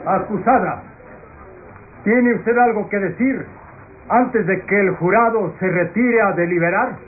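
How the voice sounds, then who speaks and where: An actor playing a stern presiding judge in a classic radionovela, calling out with formal, commanding authority. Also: male voice, high-pitched, unhurried, narrow, tinny audio. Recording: echoey, very noisy, thin old radio recording.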